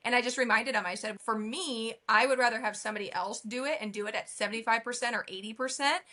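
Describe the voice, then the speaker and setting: A woman hosts a podcast in a chatty, affirming way, drifting into rambling anecdotes. She is from Nebraska. Her voice is bright and forceful and sits fairly high. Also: fast talker. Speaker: podcast host. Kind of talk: casual interview chat.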